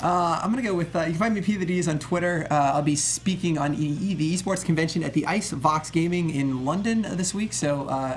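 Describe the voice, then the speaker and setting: A man's voice: fairly high pitch, slight background noise. A man co-hosting a gaming show in a studio, bantering casually with the others. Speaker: show co-host.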